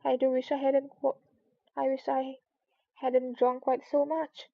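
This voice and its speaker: A woman plays a curious little girl from a storybook, high in pitch. She is polite and wistful, musing earnestly to herself. The sound is close and dry, a little muffled. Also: flat delivery, a little slow, creaky, no room sound, exaggerated voice. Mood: worried, regretful.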